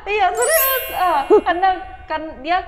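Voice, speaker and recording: A woman's voice: very high-pitched, expressive, measured pace. A chatty young woman raised in Indonesia, talking casually as an interview guest and laughing easily. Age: young adult. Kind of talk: casual chat. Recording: dull audio with a crowd behind.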